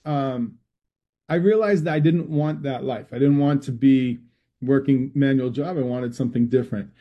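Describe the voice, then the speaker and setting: A man's voice: medium pitch, normal speed, dull audio. A middle-aged man from Massachusetts with a Massachusetts accent, a researcher answering interview questions in a rambling way with many restarts. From Massachusetts, USA. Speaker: guest, public health researcher. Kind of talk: conversational interview.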